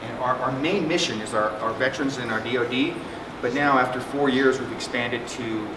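A man's voice: mid-range voice, moderate pace. A middle-aged man, a psychiatrist, giving a conversational conference talk with jokes and many fillers. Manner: conversational, joking, frequent fillers and restarts. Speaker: psychiatrist presenting at a symposium. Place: conference room with projector screen. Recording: echoey room, distant mic, air conditioning hum.

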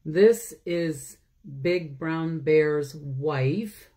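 A woman's voice: deep voice, dark tone. An older woman hosting a children's story time, reading aloud with chatty asides and questions to her listeners. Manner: slow, asks listeners questions. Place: small room at home, indoors. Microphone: phone or webcam at arm's length.

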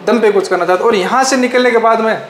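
A man's voice: high-pitched, fast, slight background noise. A young man from Rajasthan, a trainee recounting his experience in a workshop. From Rajasthan, India. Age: young adult. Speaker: technical trainee. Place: appliance workshop indoors. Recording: echoey room sound.

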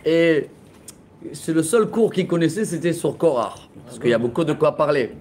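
A man in his forties, a rabbi teaching a lively Torah class in a classroom, telling stories with laughter and gestures into a close webcam. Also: on the high side, moderate pace, a crowd in the background. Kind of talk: lively storytelling lesson. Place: classroom with bookshelves, indoors. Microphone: laptop webcam at close range.

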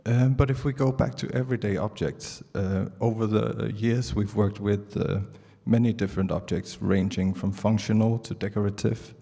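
Low-voiced Danish man presenting in a hall. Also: fairly even intonation, normal speed, gravelly, hardly any pauses, dark tone, breathy edge. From Denmark. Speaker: artist presenting at a conference. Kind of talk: prepared stage presentation. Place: large indoor theatre stage. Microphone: handheld microphone. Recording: reverberant auditorium PA sound.

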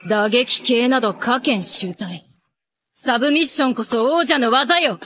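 A woman's voice: fairly high pitch, unhurried, a bit bright, slight background noise. A woman voicing a ruthless, imperious young princess and wrestler in an anime, speaking in haughty, archaic commands. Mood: fierce, shouting. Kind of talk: anime voice acting.

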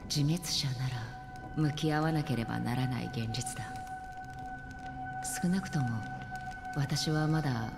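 An actress playing a calm, melancholy, detached wandering woman in a Japanese video game, solemn and softly breathy at phrase ends. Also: sits low, unhurried, pauses often, over music, full character voice.

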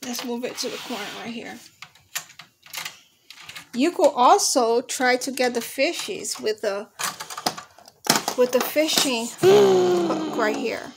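Excited high-voiced woman on a phone video. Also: takes it slowly, gravelly, pauses often. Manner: excited, sing-song exclamations. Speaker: parent filming a toy demo. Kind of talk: playful commentary with a child. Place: living room at home. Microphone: handheld phone camera. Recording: noisy home phone video.

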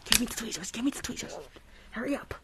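A woman playing a rude, impatient, crooked dentist in a whispered ASMR roleplay, muttering bossy, repeated orders in a breathy voice. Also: a little high, quick pace, heavy background noise, lightly acted. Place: small indoor room, livestream setup.

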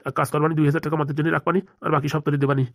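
A woman's voice: low voice, level tone, very fast, dry recording, studio quality. A woman voicing a grey-haired, hard-drinking old male thug who scolds his partner in a cartoon. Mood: scolding. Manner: gruff, scolding. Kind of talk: cartoon voice acting.

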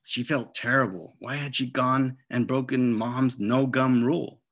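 Middle-aged male storyteller with medium pitch. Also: steady tone, unhurried. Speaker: children's book author. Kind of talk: expressive storybook reading and casual chat. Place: indoors, on a video call. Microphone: video call microphone.

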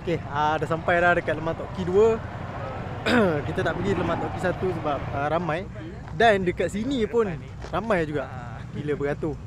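A man's voice: fairly high pitch, normal speed. A young man with a Malaysian accent chatting casually as a motorcycle vlogger. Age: 20s. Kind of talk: casual vlog chat. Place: outdoors, car parks and on a motorcycle. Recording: noisy outdoor vlog with traffic and wind.

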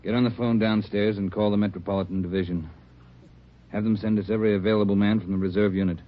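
An actor playing a brisk, commanding police chief, clipped and authoritative, in old radio drama style on a noisy vintage recording. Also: male voice, lowish voice, steady tone, muffled recording, lightly acted. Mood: urgent. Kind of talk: 1940s-50s radio acting.

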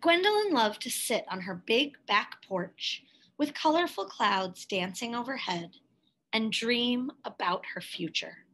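Female storybook reader, higher-pitched, very measured pace, careful and expressive delivery of scripted narration. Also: rough recording. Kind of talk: scripted picture-book read-aloud.

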